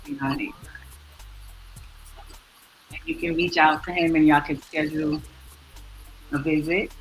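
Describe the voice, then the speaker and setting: A woman's voice: low voice, steady tone, measured pace, rough recording. A woman hosting a radio talk show, chatting casually and encouragingly with her guest. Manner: chatty, encouraging, laughs easily. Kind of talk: casual interview chat. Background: music playing behind.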